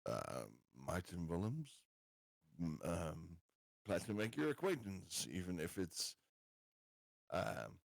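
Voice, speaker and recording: A man playing a tabletop roleplaying game, bantering in a joking, halting way. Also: deep voice, big pitch swings, creaky, dry recording, clean studio sound. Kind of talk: casual in-character game banter.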